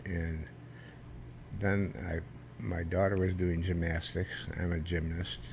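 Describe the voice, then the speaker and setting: An older man, a veteran guest, telling stories in a rambling, halting way with many restarts and a loud voice that carries. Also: low-pitched, flat delivery, gravelly, background noise. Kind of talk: casual storytelling interview.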